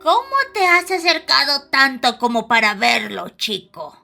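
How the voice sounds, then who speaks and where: A woman's voice: high-pitched, very animated, unhurried, vocal fry, pushed and bright, soft background music, heavily acted. A woman playing a bitter, fierce old woman in her seventies, severe and accusing, in a horror audio drama. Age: 70s.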